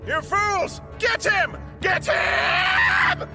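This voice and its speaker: A man's voice: extremely high pitch, wildly swooping pitch, drawn-out words, raised voice, over loud music, full character voice. A man playing a deranged, dim-witted villain doctor in a comedy cartoon game, bombastic and theatrical. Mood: menacing, gloating. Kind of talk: animated comedy game acting.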